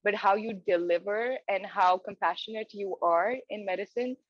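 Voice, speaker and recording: A young woman from Pakistan answers interview questions in a steady mid-range voice, earnest and a little halting. The sound is close and dry but dull, like a lo-fi video call. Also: medium pitch, dry recording. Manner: earnest, with many hesitant fillers and restarts. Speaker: residency applicant. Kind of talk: mock job interview answers.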